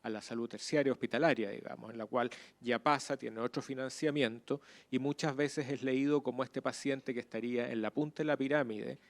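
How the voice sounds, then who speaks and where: A Chilean man with a medium-pitched voice explains his view in a seminar. He speaks off the cuff and haltingly, circling back over words. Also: steady tone. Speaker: participant in a health seminar. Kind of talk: spontaneous explanation in a class discussion.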